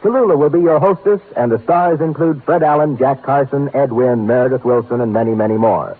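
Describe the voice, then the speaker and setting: A man's voice: medium pitch, a little fast, close to an everyday voice. A man announcing promos and credits in a polished, formal 1950s radio style. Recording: tinny old 1950s radio recording.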